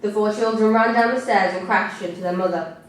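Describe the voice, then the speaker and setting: A woman reading her own writing at a live literary event, with a measured, deliberate delivery. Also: mid-range voice. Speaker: compere and writer reading own work. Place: indoor live event venue. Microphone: distant camera recording. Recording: echoey room recorded from far off, faint noise.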